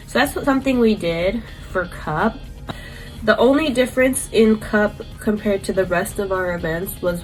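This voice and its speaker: A woman's voice: fairly low pitch, low-quality recording. A young woman, stuffy and congested from a cold, chatting casually through a rambling vlog recap full of fillers. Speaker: vlogger and paintball player.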